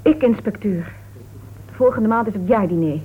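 A woman's voice: mid-range voice, normal speed, close to an everyday voice. A woman playing a composed but defensive female suspect in a Dutch radio play, answering in a clipped, formal, resigned way. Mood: formal, guarded. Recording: old radio recording, narrow tinny band, hiss.

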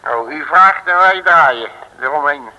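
Cocky street-smart sleuth, high-pitched, piercingly bright, wisecracking, old echoing radio play. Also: male voice, animated, unhurried, lo-fi sound, exaggerated voice. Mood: cheeky defiant. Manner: colloquial, brash, wisecracking. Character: cocky, blustering, streetwise male detective. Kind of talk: 1950s radio drama acting. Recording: old radio recording, reverberant, hissy.

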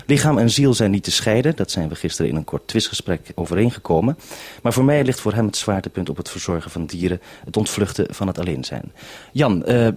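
Deep, husky male radio host. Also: lively intonation, brisk, darkish tone. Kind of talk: radio presenting and interviewing.

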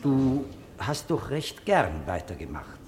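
Judge with very animated delivery. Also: male voice, medium pitch, slow pace, slight background noise. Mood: probing. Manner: authoritative, questioning. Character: stern, probing male presiding judge. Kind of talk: 1950s German radio drama acting.

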